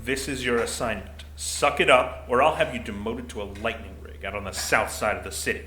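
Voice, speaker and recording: A man playing a gruff, middle-aged, desk-bound security commander in an audio drama, blunt and dryly bureaucratic. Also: mid-range voice, steady tone, a little fast, bright, forceful tone, natural voice. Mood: stern. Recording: roomy echo, faint noise.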